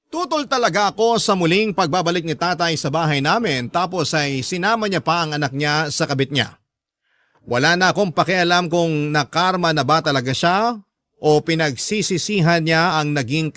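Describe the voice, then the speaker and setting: A man's voice: on the high side, a bit bright, no room sound, clean studio sound. A man reading a letter aloud as a radio drama host, in earnest, heartfelt storytelling. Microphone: close, dry studio mic.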